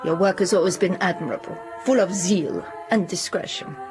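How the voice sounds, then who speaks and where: A woman playing a controlled, condescending headmistress in a period radio drama, formal and measured. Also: lowish voice, natural voice. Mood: coolly approving. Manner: formal, measured, coolly gracious. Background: music.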